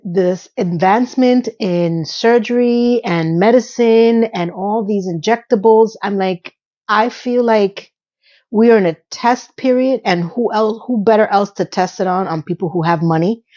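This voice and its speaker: A chatty woman in her fifties, rambling through celebrity gossip in a casual, gossipy way. Her voice sits at a middling pitch, and the recording sounds a little dull and lo-fi. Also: a little slow, a little mellow. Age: 50s. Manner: chatty, gossipy, with asides and little sung quips. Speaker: YouTube commentator.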